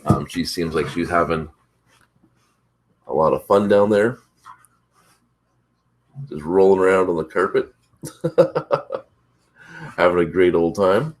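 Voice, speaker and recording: A man hosting a casual morning chat, rambling with many fillers, his voice congested and a bit rough from allergies. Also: low voice, animated, slow pace, long pauses. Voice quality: congested, slightly rough throat. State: stuffed up from allergies. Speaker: vlog host.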